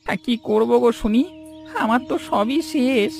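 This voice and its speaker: A man's voice: very high-pitched, normal speed, gravelly, soft background music. A man playing a remorseful, stubborn older village boatman in a cartoon drama, speaking in an earnest rustic manner. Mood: mournful.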